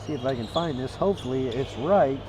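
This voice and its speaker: A hoarse man calling a live basketball game play by play, energetic and chatty, climbing into excited calls. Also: mid-range voice, brisk, noisy background. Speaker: play-by-play commentator. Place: arena broadcast position.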